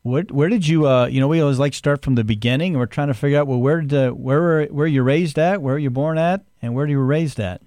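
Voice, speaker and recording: A man's voice: a little high, fast, hardly any pauses, mellow, no room sound, studio quality. Easygoing male podcast host. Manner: friendly, rambling questions with restarts. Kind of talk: casual interview.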